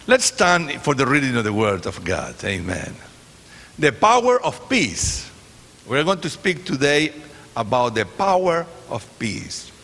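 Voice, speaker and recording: A man's voice: mid-range voice, a bit bright. A man from Chile, a native Spanish speaker with a Chilean accent, preaches informally as a guest speaker to a congregation, often hesitating as he goes. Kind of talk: sermon with informal, joking remarks to a congregation. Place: large church, speaking from the stage. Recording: reverberant church hall, congregation noise.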